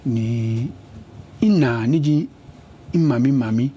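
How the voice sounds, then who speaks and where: A man's voice: mid-range voice, slow pace, a little hiss or hum. A middle-aged Ghanaian man with a Ghanaian accent, giving an interview and switching between English and Ga. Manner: switches between English and Ga, lists places at an even pace. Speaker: interview guest, politician. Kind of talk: conversational interview.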